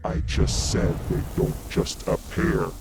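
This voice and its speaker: A man's voice: slow, loud music behind the voice, lightly acted. A man playing a brash, menacing demon biker who taunts, threatens and swears in an audio roleplay. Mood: mocking, threatening. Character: brash, menacing male demon biker, sarcastic and foul-mouthed.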